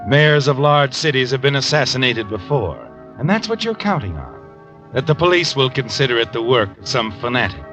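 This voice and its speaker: Sinister taunting radio narrator, swinging pitch. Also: male voice, fairly bright tone. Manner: slow taunting second-person address with dramatic swings. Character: sinister all-knowing male narrator, taunting and sardonic. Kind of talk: 1950s radio suspense narration. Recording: dull old radio recording with music.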